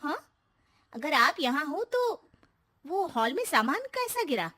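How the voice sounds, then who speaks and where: A woman playing an anxious, nagging younger woman of the household in an animated story, pleading and exasperated. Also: high-pitched, slow, full character voice. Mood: worried. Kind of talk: Hindi animated story dialogue.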